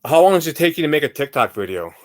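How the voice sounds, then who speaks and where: A man's voice: a little high, big pitch swings, very fast. A man in his forties hosting a podcast, asking questions in a casual, chatty interview style. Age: 40s. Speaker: podcast host.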